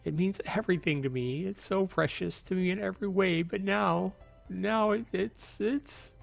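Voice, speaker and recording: A man's voice: high-pitched, normal speed, creaky, faint music behind, pitched above the performer's own voice. A man voicing a desperate, heartbroken wife who pleads and falters in a dramatic visual novel read-aloud.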